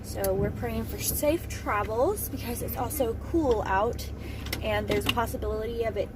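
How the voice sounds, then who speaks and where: A hoarse woman chats through her daily vlog, her voice sitting a little high. She wanders from thought to thought with lots of ums. It is a noisy phone recording. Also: moderate pace. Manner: chatty, rambling with many ums. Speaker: vlogger. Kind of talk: casual daily vlog to camera. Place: outdoors on a rural road, wind.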